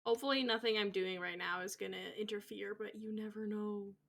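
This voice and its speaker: A woman's voice: medium pitch, steady tone, brisk, gravelly, a bit bright, a little hiss or hum. A woman game streamer chatting casually as she plays live. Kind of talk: casual live gameplay chat.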